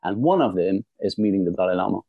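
A middle-aged man from Belgium, a guest on a podcast interview, telling a story in an animated way and often repeating words as he starts a sentence. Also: low voice, quick pace, no room sound. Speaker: guest, motivational speaker. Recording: dry, dull lo-fi sound.

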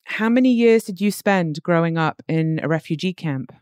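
A woman's voice: on the low side, lively intonation, moderate pace, a little mellow, smooth, no room sound, clean studio sound. A woman interviewing a guest, asking attentive, probing questions. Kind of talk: conversational interview.

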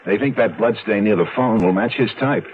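An actor playing a practical police colleague in a 1970s radio drama, conversational and matter-of-fact, with an American accent. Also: male voice, fairly low pitch, fairly quick, lightly acted. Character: practical male police colleague reporting case facts. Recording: muffled, thin vintage radio recording.

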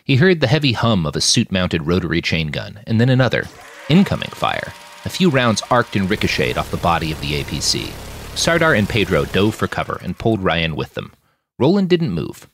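A man narrating fiction in an expressive audiobook style, acting out the dialogue as he reads. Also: low voice. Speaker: fiction podcast author and narrator.

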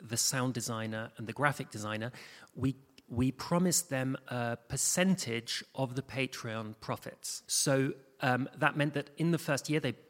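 In a hall with long reverb, a man with a medium-pitched voice speaks off the cuff as a panel guest. His delivery is halting, with repeated words as he gets going. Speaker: panel guest and show co-writer. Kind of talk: unscripted panel account. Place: live panel in a reverberant room.

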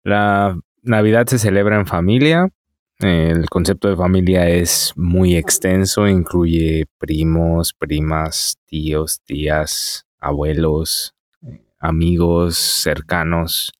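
A man in his thirties with a Mexican accent, answering interview questions in a measured, calm way. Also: deep voice, no echo, studio quality. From Mexico City, Mexico. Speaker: interview guest.

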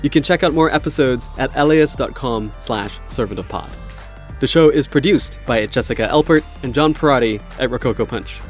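A man's voice: medium pitch, fairly quick, soft background music. A man hosting an interview podcast, speaking in a considered, conversational way. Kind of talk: conversational interviewing, scripted credits.

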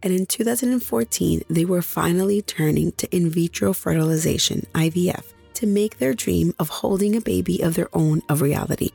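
A woman hosting a true crime podcast, hoarse after nearly losing her voice, chatting directly with her listeners. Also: lowish voice, brisk, few pauses, warm tone, no echo, faint music behind. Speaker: podcast host.

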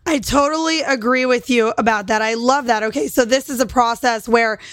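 An upbeat woman hosting a podcast, her voice slightly high. She encourages her guest and throws in the odd swear word. The recording is dry and close, with no room sound. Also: a little fast, fairly bright tone, no room sound. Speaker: podcast host. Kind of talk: casual interview chat.

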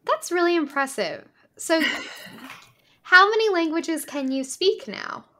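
A woman hosting a podcast interview, enthusiastic and quick with follow-up questions. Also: extremely high pitch, sing-song, bright, forceful tone. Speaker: podcast host. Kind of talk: casual interview chat.

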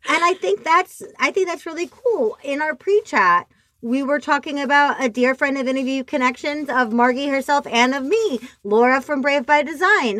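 A woman with a nasal voice talking casually on a podcast, expressive and animated as she tells stories. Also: high-pitched, dry recording. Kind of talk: casual chat. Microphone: remote call.

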